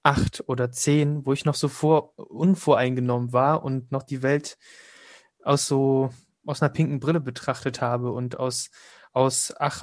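A man's voice: medium pitch, dry recording. Young man, casual and chatty interviewee, dry close recording. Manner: chatty, laughs often, hesitant fillers.

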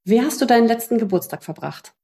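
A woman's voice: a little high, expressive, fast, dark tone. A woman interviewer introducing a guest and asking short personal questions.

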